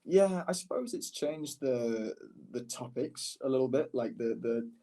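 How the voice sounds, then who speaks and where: A man's voice: medium pitch, animated, a little slow, mellow. A man speaking casually as a podcast guest and startup founder, candid and often rephrasing mid-sentence. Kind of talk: casual conversational podcast interview.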